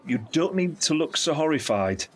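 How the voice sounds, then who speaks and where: An actor playing a candid, caring British uncle in his fifties in an audio drama, with a husky voice. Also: a little high, sing-song, normal speed. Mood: frank. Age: 50s. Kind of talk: audio drama acting, conversational monologue.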